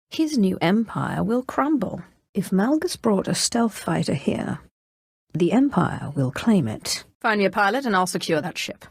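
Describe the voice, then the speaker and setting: A woman's voice: mid-range voice, gravelly, slightly rough, dry recording, studio quality, full character voice. A woman voicing an ambitious, imperious female Sith in a video game, speaking formally and with haughty command. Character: ambitious, imperious female Sith warlord serving a galactic empire. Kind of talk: scripted video game character dialogue.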